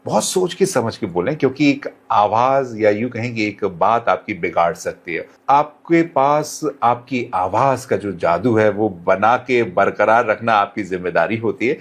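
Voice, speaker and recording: Deliberate, courteous male radio presenter. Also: lowish voice, expressive. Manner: deliberate, courteous, formal phrasing with English words mixed in. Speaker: radio presenter, podcast guest. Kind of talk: measured advice-giving interview answers.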